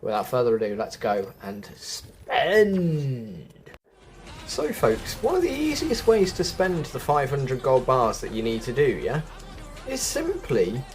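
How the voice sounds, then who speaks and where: A man giving chatty game quest walkthrough commentary as a host, often correcting himself as he goes. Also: medium pitch. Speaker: gaming video host.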